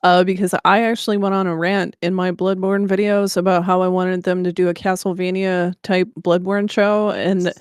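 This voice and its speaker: A woman co-hosting a podcast, chatting casually in an enthusiastic, excitable way about things. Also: on the low side, moderate pace, few pauses, clean tone, no echo, clean studio sound. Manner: enthusiastic, excitable gamer talk. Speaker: podcast co-host. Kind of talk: casual chat.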